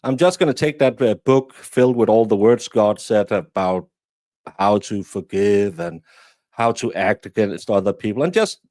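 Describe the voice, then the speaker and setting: A man's voice: fairly low pitch, lively intonation, normal speed, vocal fry, a little mellow, no room sound, studio quality. A man from Denmark, a guest commentator chatting bluntly with many restarts. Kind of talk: casual commentary chat.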